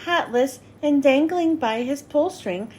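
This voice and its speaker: A woman narrating a children's storybook as a read-aloud host, with expressive, clearly phrased delivery. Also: high voice, unhurried, slight background noise.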